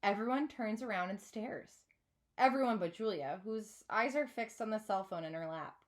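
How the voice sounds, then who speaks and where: A woman reading a children's novel aloud like a teacher, with expressive, dramatised narration. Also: mid-range voice, normal speed.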